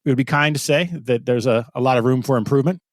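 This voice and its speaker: Male podcast host, mid-pitched, upbeat and conversational, dry close-miked sound. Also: steady tone, fast, dry recording. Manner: upbeat, scripted intro then conversational questions. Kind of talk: podcast interview hosting.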